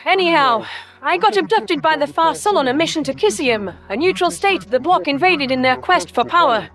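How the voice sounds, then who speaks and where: Woman as principled alien soldier, extremely high-pitched, smooth, formal, reproachful, sci-fi audio drama, light music behind. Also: lively intonation, moderate pace, a bit bright. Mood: earnest, grieving. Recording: music under the voice.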